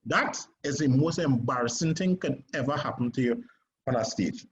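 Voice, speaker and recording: A man with a Trinidadian accent leads a stage acting lesson in a lively, animated way, checking in with "right?" as he goes. His voice sits at a middling pitch. The recording is a little dull, with a faint hiss behind it. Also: moderate pace. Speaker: acting teacher.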